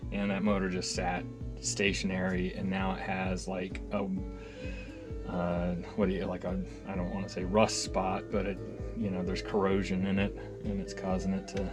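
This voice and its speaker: A man with a lowish voice presents gear in a loose, unscripted way. He is enthusiastic but rambles, mutters asides and corrects himself mid-sentence. Faint music can be heard behind him. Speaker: hobbyist video host and reviewer. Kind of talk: casual unscripted gear demonstration.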